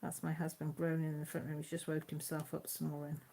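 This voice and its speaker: A woman's voice: sits low, monotone, fairly quick, dark tone, slightly rough. Chatty Welsh woman with a Radnorshire accent. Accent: Mid Wales (Radnorshire). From Wales. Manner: chatty, homely, laughs often. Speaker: YouTube channel host. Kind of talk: casual live-stream chat, reading comments.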